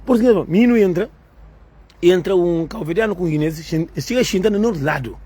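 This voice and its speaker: A woman with a husky, breathy voice rants to her viewers in a rambling way. She keeps circling back to the same words, talking straight at the camera like a video creator. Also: fairly low pitch, expressive, fairly quick, mellow. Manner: rambling and repetitive. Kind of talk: ranting monologue to viewers.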